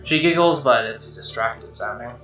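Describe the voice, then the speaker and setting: A man doing an expressive read-aloud of a game's narration, with casual asides. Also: medium pitch, normal speed. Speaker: gamer reading a visual novel aloud. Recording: roomy, dull lo-fi mic. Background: game music.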